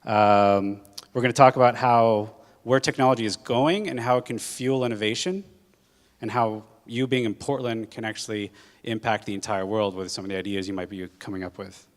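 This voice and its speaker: A man's voice: fairly low pitch, normal speed. A man from Hawaii giving a casual, conversational talk to students as a guest speaker, with lots of filler ums and uhs. He says he is jet-lagged. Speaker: guest speaker, corporate general manager. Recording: long hall reverb.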